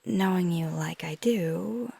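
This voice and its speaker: Slow, breathy whispering woman as a flirty vampire. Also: lowish voice, no echo, full character voice. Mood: gentle concern. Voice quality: soft, breathy, mostly whispered. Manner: slow, gentle, hesitant, teasing. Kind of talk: intimate ASMR roleplay.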